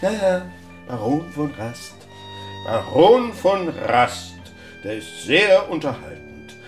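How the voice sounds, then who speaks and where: A man's voice: fairly high pitch, sing-song, slow pace, faint music behind. An actor playing a grave, brooding pastor in a radio drama, restrained and weighty in his speech. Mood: bitter, sarcastic. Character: grave, brooding country pastor, a married man.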